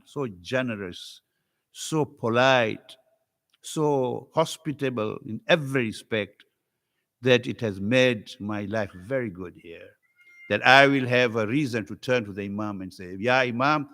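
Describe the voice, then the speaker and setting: A man from Africa, whose first language is Swahili, delivers an earnest religious sermon to a congregation. His voice sits at a middle pitch and climbs high as his storytelling grows intense. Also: a bit bright. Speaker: visiting Islamic scholar.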